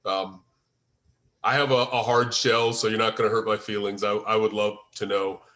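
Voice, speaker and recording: Man, lowish pitch, sharp bright tone, deliberate explaining, script discussion on a video call. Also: flat delivery. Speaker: playwright leading a table-read feedback session. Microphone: video call microphone.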